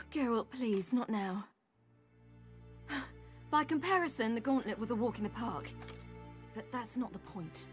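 A woman's voice: fairly high pitch, expressive, measured pace, pauses often, faint music behind. An actress playing a headstrong, defiant young woman in a fantasy game, blunt and impatient. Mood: weary. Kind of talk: dramatic fantasy game acting.

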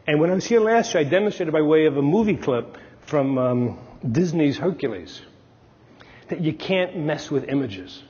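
Middle-aged New man, analyst telling stories at a podium; breathy, a bit high, dull lo-fi sound in a reverberant hall. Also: animated, normal speed, a little mellow. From Brooklyn, New York. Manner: storytelling, emphatic, gestures with his hands. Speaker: Jungian analyst giving a talk. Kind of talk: conference talk at a podium. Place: large dark stage, lecture hall. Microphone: clip-on lapel mic. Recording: lo-fi recording with long hall reverb.

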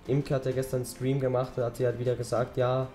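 A teenage boy with a slightly hoarse voice from a cold, chatting casually while playing a game. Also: mid-range voice, completely flat delivery, quick pace, warm tone, faint music behind. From German-speaking Europe. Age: teens. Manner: rambling, chatty, talks while gaming. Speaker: gaming channel host. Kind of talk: casual let's-play commentary.

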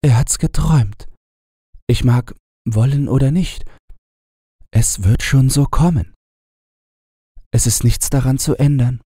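A man voicing an earnest, lovestruck young innkeeper's daughter in a storybook, with a soft, lightened voice. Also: mid-range voice, takes it slowly, long pauses, breathy edge, no room sound, clean studio sound, voice pushed up. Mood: resigned, musing.

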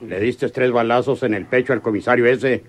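An actor in a radio drama playing a ruthless, commanding crime boss who speaks curtly and questions like an interrogator. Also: male voice, mid-range voice, steady tone, moderate pace, lightly acted. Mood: angry, menacing. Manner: curt, interrogating, domineering. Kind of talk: radionovela acting.

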